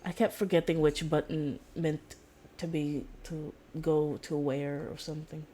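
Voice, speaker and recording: Low-pitched woman streamer chatting over noise. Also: flat delivery, unhurried, dark tone. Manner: rambling, giggly. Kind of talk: casual livestream chat while gaming.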